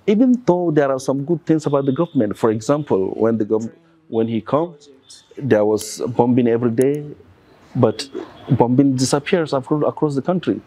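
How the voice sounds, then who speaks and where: A man's voice: mid-range voice, normal speed, dark tone, low-quality recording. A man with a Nigerian accent, a podcast guest talking earnestly and listing examples one after another. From northern Nigeria. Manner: earnest, lists examples insistently. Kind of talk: conversational interview.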